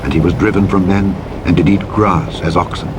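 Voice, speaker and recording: Man, fairly low pitch, Bible narrator, solemn measured declamatory reading, clear vehicle noise in the background. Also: steady tone. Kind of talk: solemn scripture reading, King James English. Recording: truck engine and reversing beeps over reading. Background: truck simulator game vehicle noise.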